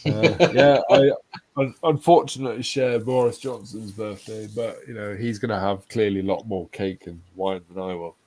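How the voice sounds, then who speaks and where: Low-voiced man bantering on a live stream. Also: moderate pace, crowd noise, rough recording. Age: 40s. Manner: banters and reads chat messages aloud, laughing often. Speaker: host. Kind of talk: casual live-stream chat.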